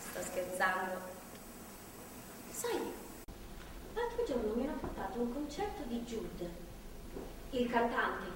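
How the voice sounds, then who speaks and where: An actress on a theatre stage playing a chatty, nervously cheerful visitor who rambles with hesitant asides. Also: female voice, a little high. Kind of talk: live stage acting, monologue-like chatter. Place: theatre stage, picked up from far off. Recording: distant hall recording, echoey, faint noise.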